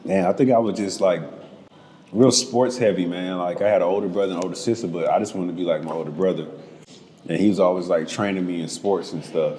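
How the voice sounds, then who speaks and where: Brief: young male guest from georgia, deep mellow voice, easygoing slangy chat. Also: fairly even intonation, quick pace, a little hiss or hum. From Georgia, United States. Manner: laid-back, slangy, swears freely. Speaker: guest painter. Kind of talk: casual interview chat.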